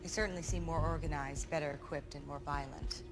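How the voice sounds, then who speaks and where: Female police handler played by a woman, game briefing: very low voice, terse and controlled, heavy music bed. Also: steady tone, brisk, close to an everyday voice. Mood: serious, urgent. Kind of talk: video game mission briefing. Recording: prominent loud music behind.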